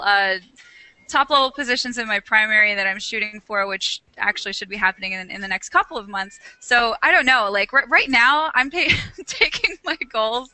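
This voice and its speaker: A woman's voice: a little high, pushed and bright, no echo, rough recording. A young woman from Alabama, a guest on an online interview, chatting casually, laughing often and restarting her phrases. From Alabama, USA. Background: dog, child and keyboard nearby.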